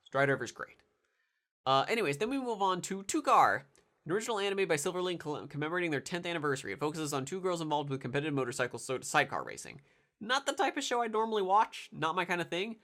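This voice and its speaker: A man's voice: a little high, lively intonation, fast, bright, forceful tone. A congested young man with a nasal voice, rambling casually through anime commentary with lots of fillers and restarts. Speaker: anime video reviewer.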